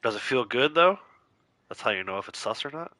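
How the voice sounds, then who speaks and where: A man's voice: medium pitch, animated, brisk, sharp tone, dry recording. Congested, nasal male game streamer. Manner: chatty, reads out and answers viewer chat, laughs easily. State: congested from a cold, a bit nasal. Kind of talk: casual livestream chat while playing.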